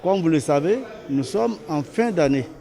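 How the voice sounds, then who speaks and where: Higher-voiced, mellow Beninese man giving a statement. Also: lively intonation, moderate pace, vocal fry. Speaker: association official. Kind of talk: news interview statement.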